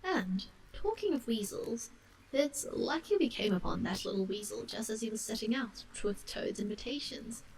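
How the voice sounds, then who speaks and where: A woman's voice: a little high, expressive, normal speed, far from the mic, a little hiss or hum, low-quality recording. A woman voicing a firm but kind-hearted male water rat, earnest and reasoning, in a storybook. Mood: regretful, earnest.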